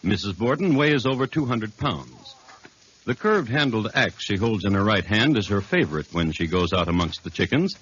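Old 50s radio guy narrating crime stories, very animated, dry wit, dull hissy recording. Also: on the low side. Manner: deliberate, wry, theatrical storytelling. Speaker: narrator and host of a true crime radio series. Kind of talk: 1950s radio drama narration, scripted. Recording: muffled, noisy old 1950s radio recording.

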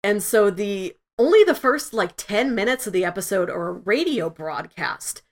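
A woman's voice: medium pitch, animated, normal speed. A woman hosting a podcast, explaining her opinions in an enthusiastic, casual conversational way. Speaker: podcast host. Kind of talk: casual review discussion.

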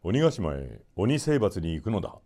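Man playing a brave, low-voiced young storybook hero. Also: sing-song, normal speed, mellow. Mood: commanding, proud. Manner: firm, confident, declarative. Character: Momotaro, brave hearty young male folk hero.

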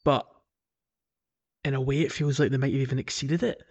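A man's voice: mid-range voice, fairly even intonation, a little fast, a little mellow. A man co-hosting a podcast in casual chat, talking in a discursive, self-qualifying way. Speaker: podcast host.

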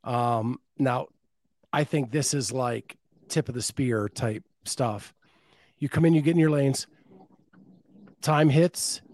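Brief: male podcast host, mid-pitched, dry close-miked sound, casual chat. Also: slow, long pauses, darkish tone, no room sound. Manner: reads listener comments aloud and expands on them.

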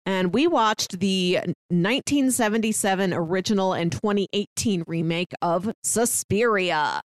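A woman's voice: medium pitch, measured pace, no echo. A woman co-hosting a movie podcast, chatting in a friendly way and saying "like" a lot. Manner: friendly, chatty, uses "like" often. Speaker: podcast co-host. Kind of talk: casual chat.

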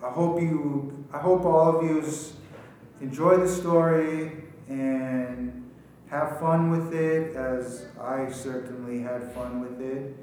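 A man's voice: medium pitch, level tone, takes it slowly, darkish tone. A man from an amateur radio play cast giving informal closing thoughts in a plain, colloquial way. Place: inside a prison. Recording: very echoey, distant, faint noise and ambience.

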